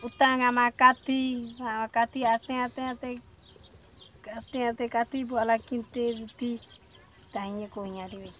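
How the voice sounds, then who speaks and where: A woman's voice: a little high, steady tone, slow, lo-fi sound, slight background noise. A woman casually retelling events in a village, repeating words as she goes. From Sindh, Pakistan. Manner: repetitive, rambling retelling. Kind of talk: casual storytelling. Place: rural village outdoors.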